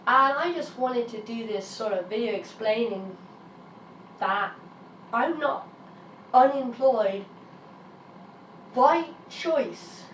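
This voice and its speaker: A British woman in her early thirties talks candidly to camera, her voice slightly raspy and pitched a bit high. She sounds a step back from the mic, in a roomy space with a faint hum of ambience behind her. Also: slow pace, long pauses, fairly bright tone, far from the mic. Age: 30s. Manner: frank, emphatic, swears when frustrated. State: raspy while getting over an illness. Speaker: vlogger. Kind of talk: candid personal vlog monologue.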